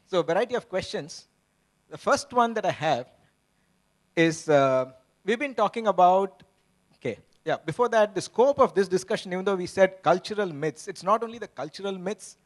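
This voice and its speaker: A man moderating a conference panel on stage, speaking in a measured way with pauses. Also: fairly high pitch.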